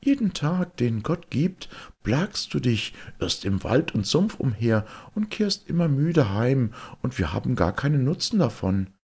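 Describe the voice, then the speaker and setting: A man's voice: mid-range voice, sing-song, measured pace, hardly any pauses, darkish tone, breathy edge, voice pushed up, full character voice. A man voicing a beautiful young enchanted wife, caring and earnestly giving instructions, in a storybook reading.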